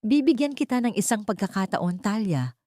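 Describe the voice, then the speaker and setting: A woman's voice: medium pitch, expressive, moderate pace, mellow, no echo, clean studio sound, voice pushed up, natural voice. A woman voicing a cold, stern rich father who speaks in menacing warnings in an audio story. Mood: threatening, low warning.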